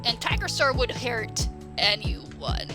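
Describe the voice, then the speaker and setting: A woman's voice: a little high, expressive, slow pace. A woman playing a grumpy, sarcastic elderly medicine cat in conversational, improvised question-and-answer banter, hesitating as she goes. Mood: exasperated, rambling. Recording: music playing behind the voice.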